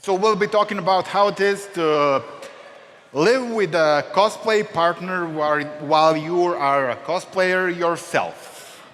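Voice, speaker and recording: A young man from Serbia talks to a convention crowd with wry, self-mocking jokes. His voice is bright and sits a bit high for a man. It rings out in a reverberant hall with a faint hiss underneath. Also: unhurried. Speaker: convention panelist. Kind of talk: joking convention talk.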